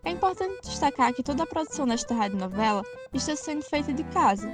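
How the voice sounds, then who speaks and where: A woman's voice: high-pitched, lively intonation, fast, music in the background. A woman announcer reading scripted credits for a radio drama.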